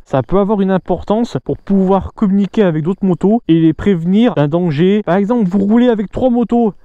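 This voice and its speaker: A man's voice: sits high, warm tone, no room sound, dull audio. A man talking casually and informally to viewers as a motorcycle vlogger, explaining and reviewing gear.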